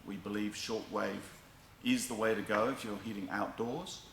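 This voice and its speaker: A man presenting a product demonstration in a deliberate, explanatory way with emphatic stresses. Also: fairly low pitch, fairly even intonation, creaky. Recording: echoey room, faint background noise.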